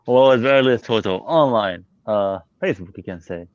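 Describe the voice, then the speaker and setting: A man from Syria chatting on a video call, halting with loose phrasing. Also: fairly low pitch, animated, no echo. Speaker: guest. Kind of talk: casual video-call chat. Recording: dry lo-fi call audio.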